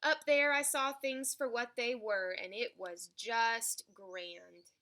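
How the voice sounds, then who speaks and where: A woman reading a children's picture book aloud in an expressive storytelling style. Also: fairly high pitch, slow pace, a little hiss or hum. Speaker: storybook reader.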